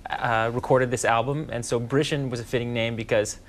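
A man's voice: mid-range voice, fairly quick. Joking young man from western Canada. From West Coast of Canada. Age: young adult. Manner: joking, relaxed, many fillers. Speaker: band leader, guest musician. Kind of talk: casual interview chat. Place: TV news studio.

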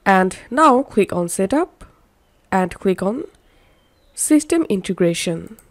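A woman narrating a software tutorial, giving step-by-step instructions. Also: mid-range voice, animated, slow, no echo.

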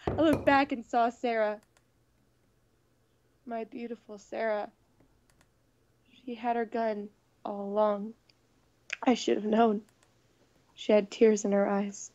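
A woman's voice: a little high, flat delivery, slow pace, pauses often, a little mellow, no room sound, muffled recording. A woman playing and reading a visual novel aloud, mixing dramatic narration with casual commentary.

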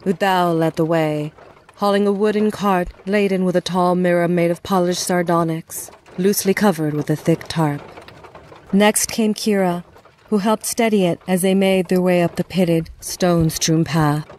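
A woman with a lowish voice narrates an audio drama. Her delivery is scripted storytelling, with faint noise in the background. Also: steady tone, moderate pace, a little mellow.

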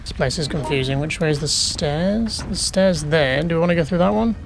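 A man's voice: on the high side, moderate pace, vocal fry, music in the background. A man casually commenting as he plays a horror game, muttering questions to himself as he explores. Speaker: gaming YouTuber. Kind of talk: casual let's play commentary.

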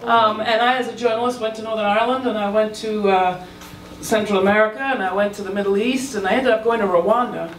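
A woman journalist speaking on stage into a handheld mic, earnest and reflective, a little halting. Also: mid-range voice, steady tone. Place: large theater stage. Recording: echoey hall, distant mic, soft music.

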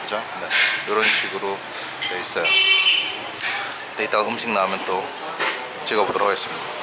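A man's voice: on the low side, fairly even intonation, brisk. A man casually narrating a food vlog, chatting about dishes and prices on camera at street restaurants. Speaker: travel vlogger. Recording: loud street noise, traffic.

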